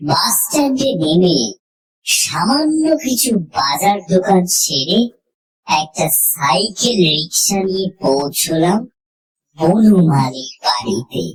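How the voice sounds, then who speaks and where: Female horror audiobook narrator, lower register, very unhurried, deliberate phrasing. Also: big pitch swings, rough recording. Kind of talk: scripted horror story narration, first person.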